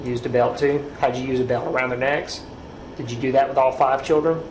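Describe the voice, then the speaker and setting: A man's voice: medium pitch, fairly even intonation, fairly quick. A man acting as a police interviewer, asking questions in a recorded interrogation. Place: indoor police interview room. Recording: echoey room, clear background noise.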